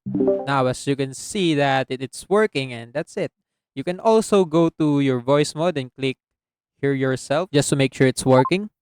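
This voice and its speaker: A man's voice: mid-range voice, moderate pace, darkish tone, clear, resonant tone, no room sound, faint music behind. Clean, dry-sounding man giving a tutorial. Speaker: tutorial host. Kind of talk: casual screen-recorded software tutorial. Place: close, dry indoor voice-over recording.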